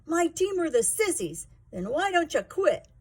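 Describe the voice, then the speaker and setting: A woman voicing a bullying, hot-tempered boy in a children's storybook, taunting and shouting. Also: very high-pitched, very animated, measured pace, voice pushed up, heavily acted. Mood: furious.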